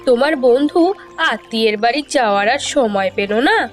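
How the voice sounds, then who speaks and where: A woman's voice: fairly high pitch, lively intonation, normal speed, lightly acted. A woman voicing a concerned, impatient village woman in a cartoon fairy tale. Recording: background music under dialogue.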